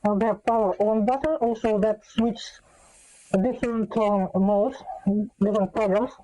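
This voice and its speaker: A woman giving a casual, unscripted gadget demonstration, speaking in a halting, hesitant way with many filler pauses. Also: medium pitch, fairly even intonation, warm tone, poor audio. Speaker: host demonstrating an electronic gadget.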